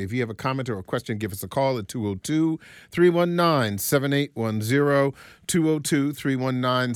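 A man's voice: mid-range voice, dry recording. Male American radio host, dry studio sound. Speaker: radio talk show host. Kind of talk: broadcast radio hosting of a call-in discussion.

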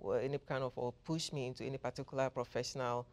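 Woman from ghana, very low voice, professor, measured interview answers. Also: little pitch movement, a little mellow. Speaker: professor, guest. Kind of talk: interview conversation.

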